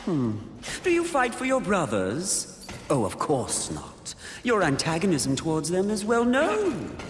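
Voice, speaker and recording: A suave, mocking demon of temptation for a video game. The delivery is slow and theatrical, with huge swings of pitch. It rings with echoey reverb. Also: male voice, wildly swooping pitch, full character voice. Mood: taunting, probing. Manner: silky, theatrical, drawn-out taunting delivery. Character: Lust, suave seductive taunting demonic sin. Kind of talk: video game cutscene acting. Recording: echoey hall reverb, faint noise.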